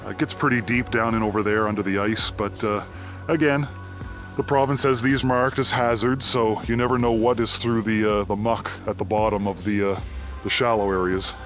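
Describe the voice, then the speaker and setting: A Canadian man speaks into a handheld camera mic while he walks through a forest. His narration is unhurried and thoughtful, broken up by frequent "uh" pauses. Faint music plays quietly under his voice. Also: lowish voice, fairly even intonation. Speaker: abandoned mine explorer. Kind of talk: walking narration while exploring. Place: outdoors in a forest.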